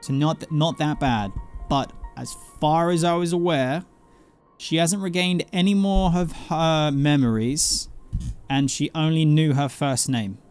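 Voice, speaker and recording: A man giving casual commentary on a game stream, animated, often breaking off and repeating himself. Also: fairly high pitch, measured pace, clean tone. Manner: animated, self-interrupting, repeats phrases for effect. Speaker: streamer playing an online role-playing game. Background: game music.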